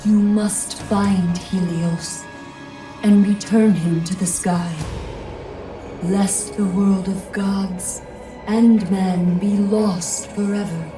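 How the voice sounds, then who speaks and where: A woman playing a stern, commanding goddess in a video game, solemn and measured, with an echoing, distant sound. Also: mid-range voice, steady tone. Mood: commanding, grave. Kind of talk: dramatic video game acting. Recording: distant, echoing reverb, music underneath.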